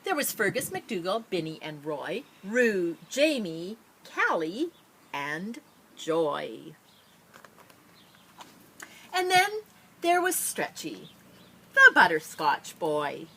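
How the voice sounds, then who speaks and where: Woman, storybook read-aloud, lively swooping intonation, unhurried pace, playful asides, faint background noise. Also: lowish voice. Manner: drawn-out dramatic phrasing with playful asides. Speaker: storybook reader. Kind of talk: expressive children's picture-book read-aloud.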